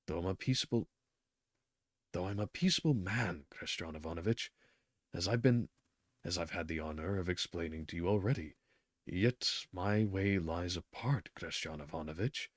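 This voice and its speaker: A man's voice: low-pitched, normal speed, dry recording, clean studio sound. A man voicing a timid, awkward middle-aged clerk who speaks hesitantly and stammers. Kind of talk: reading a novel aloud.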